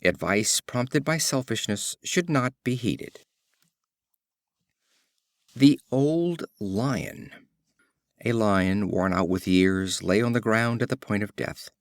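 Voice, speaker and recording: A man narrating an audiobook of classic fables, reading in an expressive, measured way. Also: on the low side, dry recording, studio quality. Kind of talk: scripted storybook narration.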